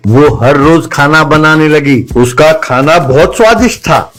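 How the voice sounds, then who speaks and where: A man's voice: on the high side, measured pace, a bit bright, faint music behind. A man narrating a scripted story for a cartoon.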